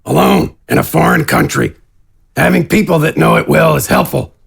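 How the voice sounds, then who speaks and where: A man's voice: fairly high pitch, normal speed, gravelly, fairly bright tone, breathy edge, voice pushed up, heavily acted. A man voicing a terse, pragmatic warrior gang leader in a fantasy audio drama, blunt and unapologetic. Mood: blunt, defiant.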